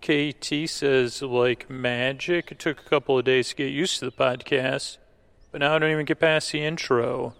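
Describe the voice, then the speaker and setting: A man hosting a podcast, casually thanking listeners in a rambling, halting way. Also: mid-range voice, fairly even intonation, vocal fry, a bit bright, clean tone. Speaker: podcast host.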